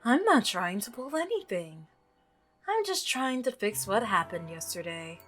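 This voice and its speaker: A woman voicing an obsessive, unsettling milkman in a visual novel, soft-spoken, coaxing and falsely reasonable. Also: mid-range voice, big pitch swings, unhurried, faint music behind. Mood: creepy, coaxing.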